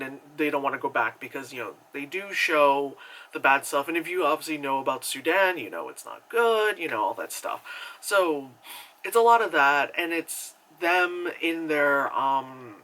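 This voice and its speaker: A young man talks casually through a movie review, rambling and filling gaps with likes and you-knows. His voice sits a little high with a bright, forward edge. He breaks into animated acted reactions. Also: poor audio. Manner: chatty and animated, many fillers, jumps into high acted reactions.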